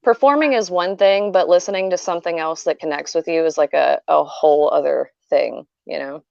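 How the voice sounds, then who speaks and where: A woman's voice: low-pitched, fairly quick, gravelly, darkish tone. A woman in her thirties, a fan putting questions to the guest, talking with enthusiasm and admiration and a casual "like" and "you know.". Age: 30s. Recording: dull, dry lo-fi sound.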